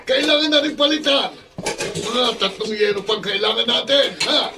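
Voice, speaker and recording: A man's voice: very high-pitched, normal speed, far from the mic. An actor playing a folksy, practical Filipino carpenter in a radio drama, calling out his instructions. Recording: off-mic with clear background noise.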